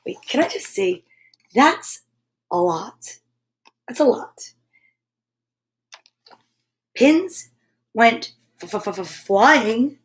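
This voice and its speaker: Female children's storyteller, high voice, unhurried read-aloud with playful sound effects. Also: expressive. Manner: playful, drawn-out sound effects and repeated words. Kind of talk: animated picture-book read-aloud for children.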